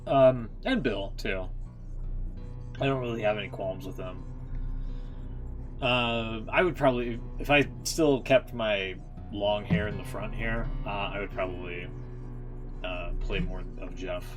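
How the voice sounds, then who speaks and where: A man's voice: lowish voice, a little slow, pauses often. A man chatting casually as a streamer, reacting in a rambling, sweary way while searching for words. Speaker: game streamer. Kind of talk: casual reaction commentary and chat. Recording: music behind the voice.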